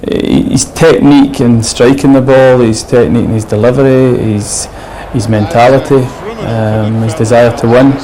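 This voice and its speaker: A man's voice: medium pitch, fairly even intonation, moderate pace, hardly any pauses, dark tone, rough recording. A man speaking earnestly in an interview, possibly a football manager.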